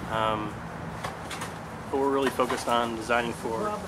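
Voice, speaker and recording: Young man, hesitant, loud street noise. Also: mid-range voice, steady tone. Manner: hesitant, with frequent "um" pauses. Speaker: landscape architecture student. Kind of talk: on-site interview. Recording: loud outdoor traffic noise.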